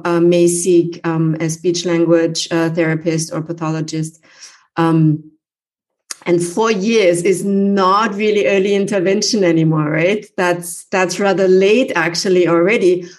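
A woman's voice: fairly low pitch, a little mellow. A woman hosting an interview, earnestly advising listeners, with frequent fillers. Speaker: podcast host.

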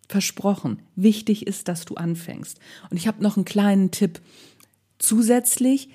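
A woman's voice: a little high, a little mellow. A woman with a Northern German accent giving a casual blogging tutorial, chatty, playful and colloquial as she speaks to the viewer.

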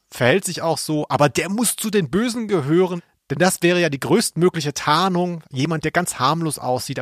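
A man co-hosting a podcast in casual conversation, often halting as he searches for words. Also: on the high side, dry recording, studio quality. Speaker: podcast co-host. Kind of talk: casual chat about radio plays.

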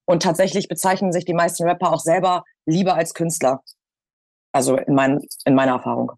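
A woman's voice: low-pitched, steady tone, fairly quick, darkish tone, dry recording, clean studio sound. A woman from Hamburg, a radio presenter, talking in a casual roundtable and stressing her points by repeating them. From Hamburg, Germany.

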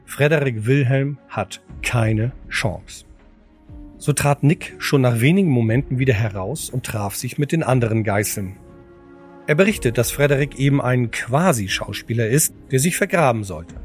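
Man, middle pitch, dramatic scripted narration, audio drama storyteller, music behind. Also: animated, moderate pace.

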